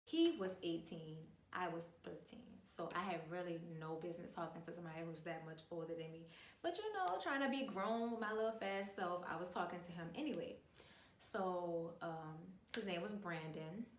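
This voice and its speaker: A young woman with a New Orleans accent talks to her viewers in a loose, animated way, full of slang and filler words. Her voice sits a little low. The room is echoey and she is some distance from the mic. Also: moderate pace, a little hiss or hum. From New Orleans, USA. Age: 20s. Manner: chatty, animated, uses lots of slang and filler. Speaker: vlogger. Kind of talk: casual storytime chat.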